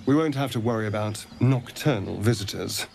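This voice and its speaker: A man's voice: lowish voice, brisk, creaky, a little mellow, slight background noise. An actor playing a suave, sly vampire man in a video game, with theatrical, dramatic delivery and an undercurrent of need. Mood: evasive, smooth. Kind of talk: video game character acting.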